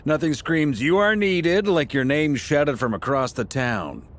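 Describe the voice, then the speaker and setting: A man voicing an easygoing, amiable adventurer leader in a game, relaxed and teasing. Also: fairly high pitch, unhurried, a little hiss or hum. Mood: amused, easygoing. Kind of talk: dramatic game voice acting.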